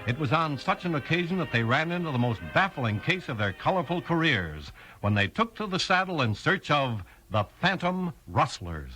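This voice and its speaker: A man with an American accent reading scripted, measured, dramatic narration in 1950s radio style. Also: fairly high pitch, big pitch swings, pushed and bright, rough recording. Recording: old radio recording, music behind. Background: music.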